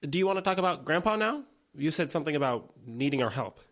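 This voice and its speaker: A man's voice: a little high, animated, fast, a bit bright. An actor playing an earnest, slightly awkward small-town man in an audio drama, hesitant and conciliatory. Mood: placating.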